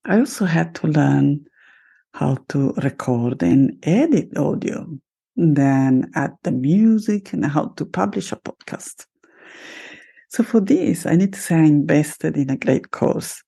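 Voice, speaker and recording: A woman's voice: deep voice, animated, a little slow, vocal fry, mellow, breathy edge. A middle-aged woman with an Italian accent, a podcast host and life coach talking alone to her listeners in an upbeat, proud manner. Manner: upbeat, self-celebrating, talks straight to listeners. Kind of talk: solo podcast monologue.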